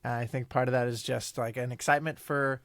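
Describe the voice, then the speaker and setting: Gravelly, thoughtful man in his thirties. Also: mid-range voice, steady tone, fast talker, a bit bright, no room sound, clean studio sound. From Italy. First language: Italian. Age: 30s. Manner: thoughtful, rambling with restarts. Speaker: podcast guest, songwriter. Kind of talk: casual interview chat.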